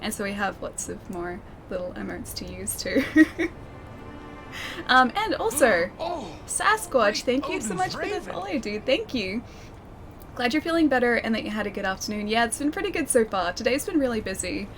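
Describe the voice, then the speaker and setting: A young woman streaming a game and chatting with her viewers, her voice a little croaky and scratchy from a sore throat, laughing often and calling people dude and man. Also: fairly high pitch, very animated, moderate pace. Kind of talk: casual chat with live stream viewers.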